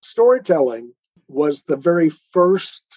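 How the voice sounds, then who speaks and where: A man's voice: a little high, big pitch swings, takes it slowly, darkish tone, slightly rough, dry recording, lo-fi sound. A man speaking as an interview guest, explaining his views as an author in a reflective, conversational way. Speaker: guest author, storyteller and podcaster.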